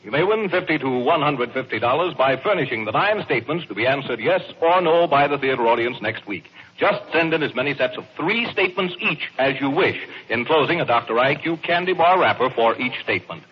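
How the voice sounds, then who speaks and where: A man's voice: mid-range voice, animated, a little fast. An American man working as a vintage radio announcer, reading a scripted notice in a formal, polished delivery. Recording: dull, noisy vintage radio recording.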